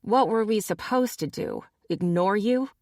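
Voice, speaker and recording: A defiant young wolf shifter, done by a woman reading aloud. He sounds sullen and defensive. The read is slow and the recording dry. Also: medium pitch, animated, darkish tone, no room sound, pitched above the performer's own voice.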